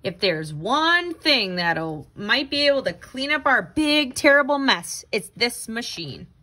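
A woman reading a children's picture book aloud in an expressive, dramatic, exclaiming way. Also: on the high side, unhurried. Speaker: storybook reader.

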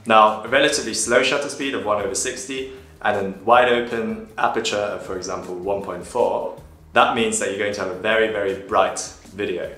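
A young man vlogging to camera from home, casually explaining photography step by step in a relaxed, chatty way. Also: on the low side, brisk, soft background music. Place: small room at home, indoors. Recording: echoey room.

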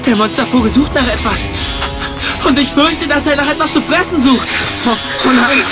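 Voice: high voice, moderate pace, over loud music, natural voice. An actor playing a cautious, squeamish, easily frightened boy detective with anxious exclamations in a children's audio drama.

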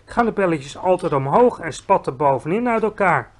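A man voicing a polite, patient young girl in a storybook reading, asking questions calmly. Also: sits high, normal speed.

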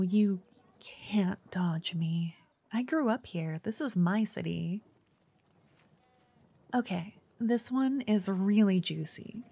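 Woman acting a soft-voiced, pushy, obsessive fangirl. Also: mid-range voice, slightly rough. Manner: chatty, coy, insistent, slipping into whispers. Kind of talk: ASMR roleplay.

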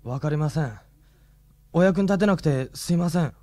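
A man's voice: fairly high pitch, moderate pace, dark tone, no echo, natural voice. A young man acting in a radio drama as an earnest, brooding teenage schoolboy who speaks plainly. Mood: puzzled. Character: teenage schoolboy kendo player, earnest and troubled.

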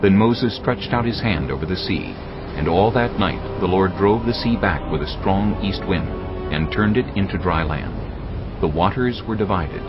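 Brief: male narrator, very deep voice, grave and measured, loud score, muffled. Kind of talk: scripted Bible narration. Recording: dull sound under loud music.

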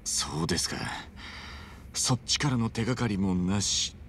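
A man's voice: on the low side, animated, moderate pace, fairly bright tone, slight background noise. An actor playing a brash, good-hearted middle-aged hero in a video game, blunt and colloquial in his talk. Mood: earnest, pensive. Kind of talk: Japanese video game dub acting.

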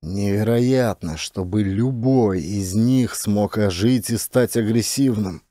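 A man's voice: on the low side, slow, dark tone. A man voicing a hard-bitten, wry male space officer in an audiobook, terse and emphatic. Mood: puzzled, insistent.

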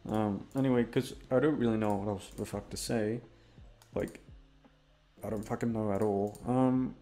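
Low, creaky-voiced man rambling casually. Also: fairly even intonation, moderate pace, dark tone, soft background music. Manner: aimless, self-deprecating, heavy casual swearing. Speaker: voice actor and channel host. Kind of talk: casual rambling outro chat.

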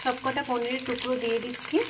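A woman with a Bengali accent who hosts a cooking channel, calmly talking through a recipe step by step in her home kitchen. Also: sits high, quick pace, heavy background noise. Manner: calm, plain instructional tone, listing ingredients.

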